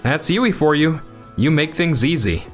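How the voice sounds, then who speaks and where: A man's voice: a little high, expressive, measured pace, soft background music. A man voicing an earnest young businessman in construction and real estate for a manga dub. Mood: warm, appreciative. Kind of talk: anime-style manga dub acting.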